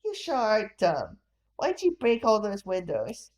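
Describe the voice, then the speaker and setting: A woman's voice: medium pitch, huge pitch swings, a little slow, a little mellow. A woman voicing a mischievous little boy, a teasing younger brother with a childish, taunting manner.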